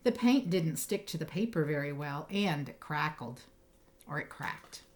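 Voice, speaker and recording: Lady reading a kids picture book out loud, slightly low voice, stresses words in a storytelling way. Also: lively intonation, unhurried. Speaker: storybook reader.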